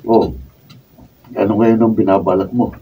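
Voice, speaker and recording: A Filipino man acting a skeptical, protective friend in a radio drama, with a husky voice. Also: fairly low pitch, fairly even intonation, moderate pace, warm tone, a little hiss or hum, close to an everyday voice. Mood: skeptical, alarmed. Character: skeptical, protective male confidant of a worried wife. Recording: distant mic.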